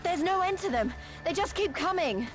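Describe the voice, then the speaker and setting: Eager young adventuress for game cutscenes: high, brisk, animated. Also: female voice. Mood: strained. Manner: brisk, plucky, expressive. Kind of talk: dramatic game cutscene acting. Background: music.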